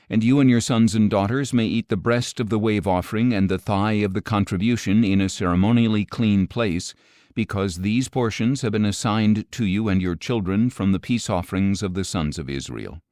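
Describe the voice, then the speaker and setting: A man with a fairly low voice reads scripture as an audiobook narrator. His delivery is solemn and measured, with each phrase set out deliberately. The sound is very dry, with no room around the voice. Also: a little mellow, no echo.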